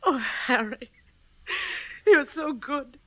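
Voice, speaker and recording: Frightened, evasive wife, high anxious voice, 1940s radio suspense, muffled old recording. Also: female voice, expressive, slow, dry recording. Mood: anxious, pleading. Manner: anxious, faltering. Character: frightened, evasive, nervous wife hiding a secret.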